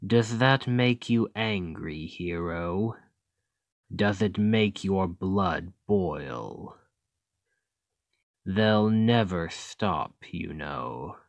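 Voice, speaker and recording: A man playing a smug, calculating demon king in an ASMR roleplay, talking in a deliberate, condescending and persuasive way to the listener. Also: low voice, lively intonation, gravelly, no room sound, full character voice. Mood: persuasive, goading. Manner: slow, deliberate, condescending, taunting. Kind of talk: ASMR roleplay, scripted acting addressed to listener.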